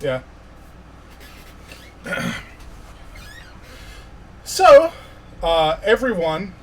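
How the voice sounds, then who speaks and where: A man working as game master, narrating a tabletop fantasy game in an informal, halting way with pauses. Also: on the high side, a little hiss or hum, poor audio.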